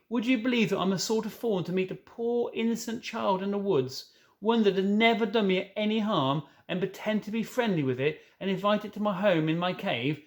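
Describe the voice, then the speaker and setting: A man's voice: high-pitched, pitched above the performer's own voice. A man reading a storybook as an anxious, tearful male fawn who stammers and pleads. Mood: wretched confession. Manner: stammering, pleading, self-reproaching.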